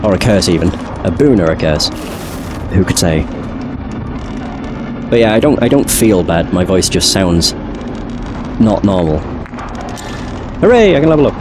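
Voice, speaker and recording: A man streaming a game he has never played, chatting casually and now and then swearing, his voice still deeper than usual as he recovers from illness. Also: unhurried, long pauses, background noise. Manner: chatty, relaxed, occasional swearing. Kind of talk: casual gaming commentary. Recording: game music playing behind.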